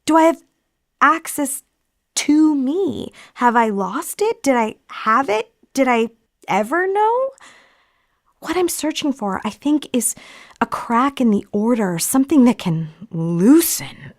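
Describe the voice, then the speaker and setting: A woman playing an apologetic podcast host who guides a meditation in a hesitant, probing, soft-spoken way. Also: high voice, lively intonation, slow pace, no room sound, studio quality. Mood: searching. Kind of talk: intimate guided meditation acting.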